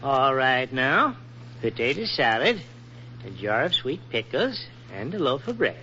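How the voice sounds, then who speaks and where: An actor playing a folksy, unhurried, solicitous shopkeeper in a 1940s radio drama. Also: male voice, mid-range voice, big pitch swings, pushed and bright, exaggerated voice. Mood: businesslike, friendly. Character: neighborly, unhurried male grocer, fussing kindly over customer. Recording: muffled, noisy old 1940s radio recording.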